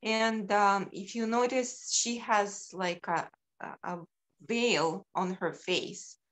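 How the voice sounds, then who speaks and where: Slow-paced woman from Ukraine presenting. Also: mid-range voice, a bit bright. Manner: unhurried, halting with frequent ums. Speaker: sculptor presenting her work. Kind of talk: slide presentation on a video call. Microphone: video call.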